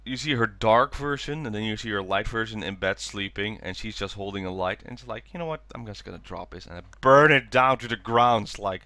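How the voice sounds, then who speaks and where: A man's voice: lowish voice, fairly quick, few pauses, sharp tone, no room sound. A man hosting a casual fan discussion podcast, slightly stuffy-nosed with a cold coming on. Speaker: podcast host.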